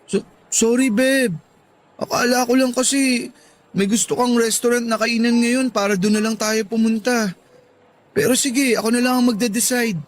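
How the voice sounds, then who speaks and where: A man's voice: very high-pitched, steady tone, normal speed, slight background noise. A man playing an apologetic, pleading young boyfriend in a radio drama, coaxing and eager to please. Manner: placating, coaxing.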